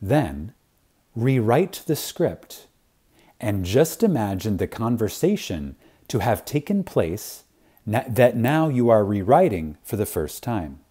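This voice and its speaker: Guy reading a spiritual lecture, really slow and reverent, big swings in pitch, dramatic pauses. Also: very animated, mellow, breathy edge. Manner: reverent, with long pauses and strong emphasis.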